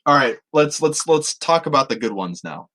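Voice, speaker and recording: A man co-hosting a podcast, trading informal, slangy commentary and quick reactions in relaxed banter. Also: mid-range voice. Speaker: podcast co-host.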